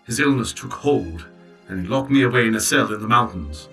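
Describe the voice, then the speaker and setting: A man playing a loyal clockwork servant who tells a story in a formal, measured, precise way in an audio drama. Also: fairly low pitch, expressive, sharp tone, recorded from a distance, soft background music, poor audio. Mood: solemn. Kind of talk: full-cast audio drama narration.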